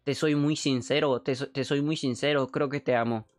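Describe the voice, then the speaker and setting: A young man from Peru with a Mexican Spanish accent, chatting casually on a live stream, joking, excitable and full of slang. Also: mid-range voice, normal speed. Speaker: streamer and animator.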